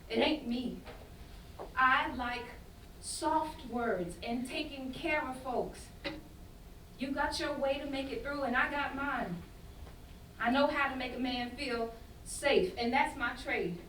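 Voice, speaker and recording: A woman's voice: high voice, slow pace, pauses often, a bit bright. Woman acting a devoted, defensive young gal, echoey. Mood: earnest, pleading. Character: devoted, defensive, soft-hearted young woman loyal to troubled man. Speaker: student actor in a staged reading. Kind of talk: dramatic staged reading of a stage play. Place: indoor festival staged reading. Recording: echoey room, distant mic, faint noise.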